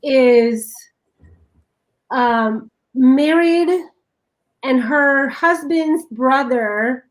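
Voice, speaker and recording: High-voiced woman with a foreign accent. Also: very slow, long pauses. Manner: excitable, laughs often, restarts sentences. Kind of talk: casual chat.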